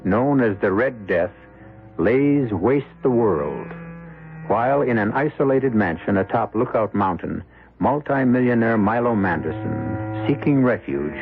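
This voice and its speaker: A man hosting and narrating a radio mystery in a deliberate, suave, ominous way with dramatic pauses. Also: on the low side, animated. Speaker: host and narrator. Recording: muffled old radio broadcast over music.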